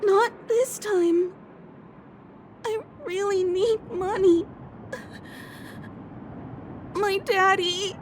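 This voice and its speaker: Stubborn, pleading urchin boy for a game, very high and very slow, faint music behind. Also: steady tone, a little hiss or hum. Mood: desperate. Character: pleading, stubborn little boy from a poor town. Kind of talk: video game voice acting.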